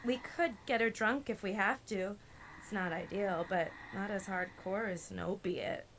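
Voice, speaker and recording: A woman's voice: medium pitch, a little slow, background noise, lightly acted. A woman playing a young, focused, caring American healer in an audio drama, plainspoken and slangy. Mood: relieved, practical. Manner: plainspoken, slangy, takes charge. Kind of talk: naturalistic audio drama acting. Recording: loud music under the voice.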